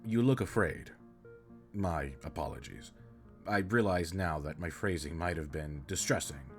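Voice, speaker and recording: Man playing a very deep-voiced, formal alien captain. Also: soft background music. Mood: reassuring. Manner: stiff, formal, precise and measured wording. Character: formal, earnest, caring male alien spaceship captain. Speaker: audio roleplay voice actor. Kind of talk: scripted roleplay audio drama, addressing the listener.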